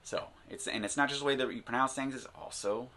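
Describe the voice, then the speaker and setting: A man's voice: medium pitch, quick pace, pushed and bright. A man hosting a language-learning channel, talking casually to the camera and rambling with many fillers and restarts. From United States.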